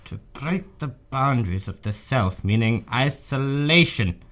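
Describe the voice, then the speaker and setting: A man voicing a surly, brooding man who bursts out in gruff, exasperated complaints in an amateur radio drama. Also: medium pitch, lively intonation, unhurried, a bit bright.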